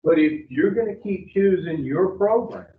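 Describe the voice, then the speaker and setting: A man's voice: high-pitched, animated, normal speed. A man, bluntly questioning new clients at an addiction treatment intake. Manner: blunt, confrontational, drawling. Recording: far-off phone-like audio in an echoey room.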